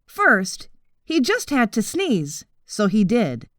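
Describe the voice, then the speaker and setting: A woman narrates a children's audiobook at an unhurried pace. Her expressive delivery swings widely in pitch, and the recording is close and dry. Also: dry recording. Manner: expressive, deliberate storytelling. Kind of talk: scripted children's story narration.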